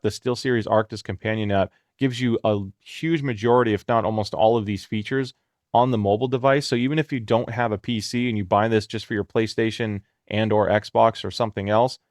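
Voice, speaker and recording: A man with a lowish voice walks through a product review in a casual, explanatory way, with the odd "uh" along the way. He speaks into a headset microphone indoors, and the sound is dry and close. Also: no echo. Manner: casual explanatory, frequent fillers. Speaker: tech reviewer. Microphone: headset microphone under test.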